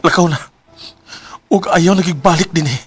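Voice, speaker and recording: An actor playing an emotional, humble young son in a radio drama, with a Cebuano Filipino accent. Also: male voice, fairly high pitch, normal speed, a little hiss or hum, natural voice. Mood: angry, bitter. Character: grieving, bitter young son, lovestruck and humble. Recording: music bed behind radio drama.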